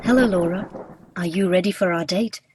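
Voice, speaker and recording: A woman's voice: fairly low pitch, brisk, darkish tone, slight background noise, close to an everyday voice. A woman acting in a radio play as an awkward, earnest female Grim Reaper who speaks in stiff, formal, literal phrases.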